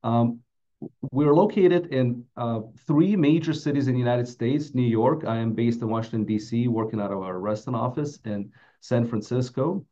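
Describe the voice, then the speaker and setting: Mellow man, dull audio. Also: mid-range voice, normal speed. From Latvia. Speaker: financial advisor presenting a webinar. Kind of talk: prepared webinar presentation. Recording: dull, muffled webinar audio.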